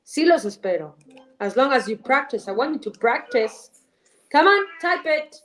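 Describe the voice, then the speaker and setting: A woman's voice: high voice, lively intonation, moderate pace, a bit bright, a little hiss or hum, rough recording. A woman, a Spanish speaker teaching an English class live online. She is upbeat, switches between Spanish and English, repeats phrases and praises students by name.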